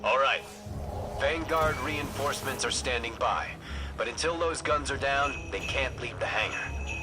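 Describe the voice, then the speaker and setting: An actor playing a calm military scout who gives brisk, matter-of-fact orders. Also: male voice, mid-range voice, lightly acted. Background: game music.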